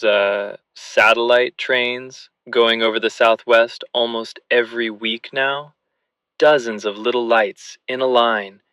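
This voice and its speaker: Skeptical phone-in caller for radio drama: unhurried, crisp, easygoing, dismissive. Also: male voice, medium pitch, sharp tone, no room sound.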